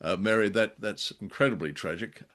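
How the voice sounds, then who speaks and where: A middle-aged man co-hosting a podcast in casual chat, speaking in a hesitant, halting way. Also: lowish voice, a bit bright. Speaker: podcast co-host. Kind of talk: casual scripted-topic chat.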